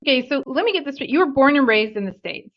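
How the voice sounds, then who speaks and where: A woman from the US West Coast hosting a podcast interview, brisk with short affirming replies. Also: high-pitched, animated, highs cut off. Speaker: podcast host. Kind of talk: casual interview conversation.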